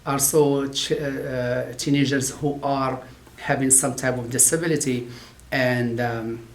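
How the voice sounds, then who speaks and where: Halting non-native English-speaking man. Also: medium pitch, fairly even intonation, roomy sound. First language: not English. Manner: halting, many "uh" fillers and restarts. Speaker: occupational therapy professor. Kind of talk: interview talk about his field.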